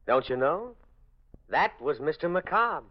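An actor playing an accusing detective in a dramatic 1940s radio thriller. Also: male voice, a little high, big pitch swings, takes it slowly, full character voice. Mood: calm, reassuring. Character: male detective posing as a murdered doctor, accusing. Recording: muffled vintage radio broadcast recording.

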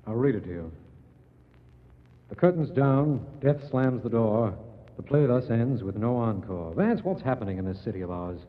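An actor playing an earnest, businesslike district attorney, speaking formally in a 1940s radio drama. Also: male voice, medium pitch, animated, moderate pace, close to an everyday voice. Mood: grave, urgent. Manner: formal, declarative. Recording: muffled, hissy 1940s radio recording.

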